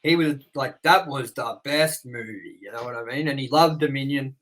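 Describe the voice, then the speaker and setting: A man's voice: mid-range voice, normal speed, a bit bright. A man in his thirties co-hosting a movie review show, chatting casually and telling rambling stories with casual swearing.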